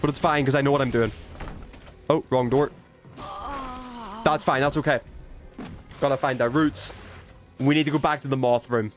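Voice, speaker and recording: A young man with a middling-low voice streams a video game with music behind him. He rambles in an informal, conversational way, half to his viewers and half to himself. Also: steady tone, moderate pace, long pauses. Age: 20s. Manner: rambling, informal, self-talking while playing. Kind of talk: casual gaming livestream chat and commentary.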